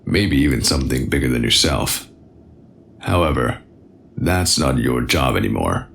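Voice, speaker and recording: A man playing a calm, authoritative military captain in an audio drama, assured, measured and quietly superior. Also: rumbling low, creaky, a little hiss or hum, exaggerated voice. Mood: smooth, assured. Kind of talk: scripted audio drama acting.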